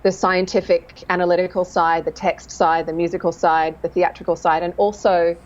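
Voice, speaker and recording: A woman with a lowish voice talks through her craft as a dialect coach, thinking aloud with lively, self-mocking humour. The recording sounds somewhat dull and lo-fi, as if the highs were missing. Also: moderate pace. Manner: thoughtful, animated, self-deprecating humour. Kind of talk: casual interview chat.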